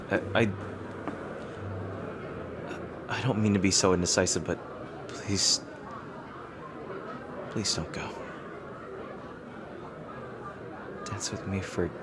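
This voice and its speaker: A man's voice: sits low, a little slow, pauses often, a crowd in the background, noisy background. A man playing a lovestruck royal rival in a romantic audio roleplay, playful and yearning, with tender, hesitant delivery. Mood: pleading, yearning. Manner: teasing, hesitant, tender, formal-leaning phrasing. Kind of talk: romantic audio roleplay, intimate ASMR acting. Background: music.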